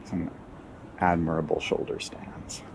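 Deep-voiced man guiding a park walk. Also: unhurried, vocal fry, background noise. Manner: relaxed, wry asides to viewers. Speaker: walking tour guide. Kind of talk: casual narrated walking tour. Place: outdoors in a city park. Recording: faint outdoor ambience.

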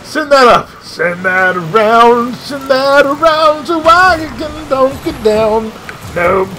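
A man streaming a video game live, giving excitable play-by-play with shouted catchphrases. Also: extremely high pitch, takes it slowly, a little hiss or hum, rough recording. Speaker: gaming streamer. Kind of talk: live gameplay commentary.

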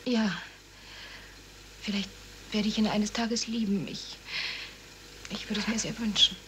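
Woman acting a young fiancée, guarded, terse, medium pitch, faint hiss, vintage radio drama. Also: steady tone, unhurried, lightly acted. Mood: subdued. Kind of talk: 1970s German radio crime drama acting.

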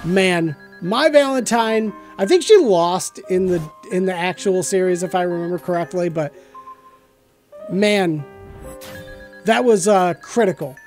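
A man's voice: sits high, a little slow, a little mellow. American guy hosting a card game video, casual play by play, music under him. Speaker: host of a card game series. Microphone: close desk microphone.

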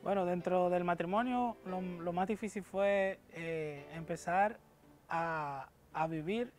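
Dominican man in his thirties, fairly high voice. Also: slow, darkish tone, faint music behind. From Dominican Republic. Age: 30s. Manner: hesitant, many fillers and restarts. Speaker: guest. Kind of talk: personal testimony to camera. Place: TV studio, dark backdrop. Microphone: lapel mic.

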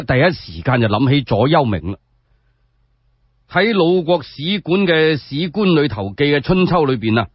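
A man with a Cantonese accent telling a story in an expressive, colloquial radio storytelling style. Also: on the high side, breathy edge, dry recording, highs cut off. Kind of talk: animated solo radio storytelling.

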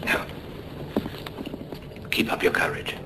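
An actor playing a formal, concerned ship's captain in a radio drama, speaking with polite, measured authority. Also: male voice, background noise, lightly acted. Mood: grave concern.

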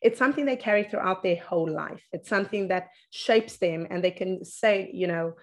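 A woman's voice: lowish voice, normal speed. Encouraging woman interviewer on video call. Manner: warm, encouraging questions. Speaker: host. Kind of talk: casual interview. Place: office, indoors.

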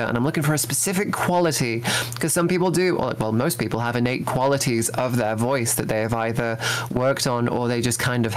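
A young man, a voice actor guest, talking casually in an interview with lots of ums and restarts. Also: mid-range voice. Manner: hesitant, many fillers and restarts.

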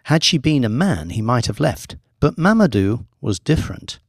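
A man reading a scripted audiobook story in a measured, deliberate storytelling manner. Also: mid-range voice, sing-song, no echo, studio quality. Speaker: audiobook narrator, author reading own book.

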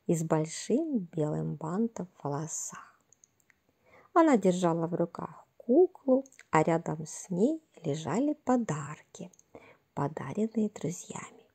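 A woman narrating a scripted fairy tale for children in a soft, breathy, gentle and unhurried storytelling manner. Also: fairly low pitch.

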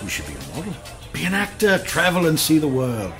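An actor with a British accent playing a cocky, flirtatious, smooth-talking fashion photographer in a radio drama. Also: male voice, on the high side, huge pitch swings, brisk, bright, forceful tone, faint music behind, exaggerated voice. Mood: boastful.

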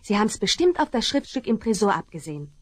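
A woman's voice: mid-range voice, big pitch swings, fast. An actress playing an earnest, determined young woman in a 1970s radio play. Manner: earnest, urgent.

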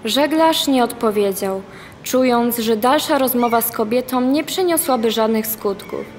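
A woman's voice: on the high side, normal speed. A young woman reading scripted narration as the narrator of a radio play. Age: young adult. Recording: long reverb, music behind. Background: music.

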